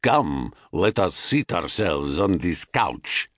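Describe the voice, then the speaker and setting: A man voicing a forceful, lecherous rich stranger in an audiobook myth, smooth, insistent and full of flattery. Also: sits low, takes it slowly, dry recording, studio quality, voice pushed down, exaggerated voice. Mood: seductive, pressing.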